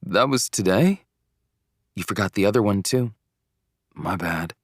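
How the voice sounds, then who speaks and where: A man's voice: fairly low pitch, animated, unhurried, no room sound, studio quality. A man voicing a hurt, indignant teenage boy arguing in an audiobook story. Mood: sheepish.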